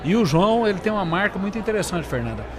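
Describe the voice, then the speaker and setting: A man's voice: high voice, very animated, very fast, crowd noise. A man with a Brazilian accent co-hosting a conversational podcast interview. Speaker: podcast co-host. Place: busy trade fair stand. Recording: clear urban ambient noise at trade fair.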